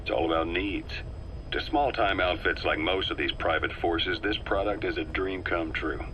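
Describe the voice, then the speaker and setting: An actor playing a shrewd male intelligence officer who talks in a measured, persuasive and faintly sardonic way. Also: sits low, bright, forceful tone, a little hiss or hum, low-quality recording. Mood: analytical. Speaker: advisor on a recorded tape. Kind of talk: video game voice acting.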